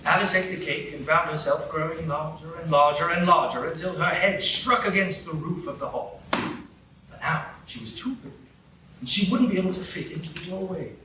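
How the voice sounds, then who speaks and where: A man's voice: fairly high pitch, moderate pace. A man narrating a fairy tale in a live radio play, in a wry storytelling way. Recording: very echoey room, mic far off, background noise.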